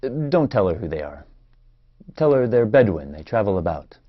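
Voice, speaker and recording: A man's voice: on the low side, big pitch swings, moderate pace. An actor in a play reading, playing an anxious, protective man in an Israeli family, on a dull recording. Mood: cautious.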